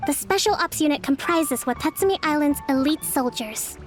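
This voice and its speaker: Young female game commander, high voice, gentle, formal, measured, resolute, soft music behind. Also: animated. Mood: calm, encouraging. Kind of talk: video game voice acting.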